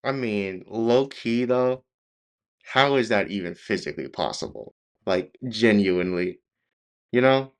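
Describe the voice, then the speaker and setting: A man with a fairly low voice gives casual reaction commentary as a video host. His delivery is nonchalant and low-key, with phrases that hesitate and trail off. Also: lively intonation, a little slow.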